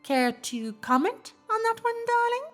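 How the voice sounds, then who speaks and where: A woman's voice: extremely high pitch, sing-song, soft background music, heavily acted. A woman voicing an elegant, teasing cartoon unicorn socialite in a fan fiction audio drama, with an affected, genteel, gossipy delivery. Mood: coy gossiping. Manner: affected, genteel, theatrical delivery with drawn-out words.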